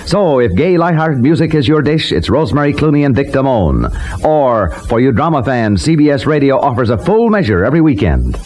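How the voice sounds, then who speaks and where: A vintage radio recording with faint hiss. A male network announcer reads a promo with lively, swinging intonation, polished and jaunty in 1950s style. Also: medium pitch, brisk.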